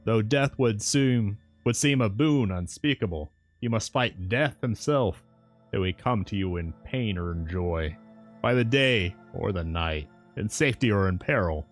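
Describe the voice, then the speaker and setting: A man's voice: lowish voice, animated, a little slow, soft background music. A man reading aloud and voicing a solemn elderly professor in a storybook, with formal, old-fashioned speech. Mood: solemn pleading. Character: solemn elderly male professor, Van Helsing.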